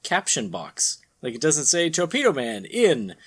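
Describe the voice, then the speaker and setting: A man hosting a podcast with casual banter, speaking in a halting, rambling way. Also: on the high side, wildly swooping pitch, slightly rough. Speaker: podcast host. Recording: background noise.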